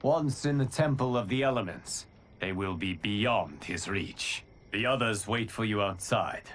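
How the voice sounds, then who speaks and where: Deep-voiced, solemn guardian god. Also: male voice, animated, fairly bright tone, a little hiss or hum. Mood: calm, resolute. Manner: measured, solemn, formal. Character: calm, wise, godlike protector of realms. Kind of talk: dramatic video game acting.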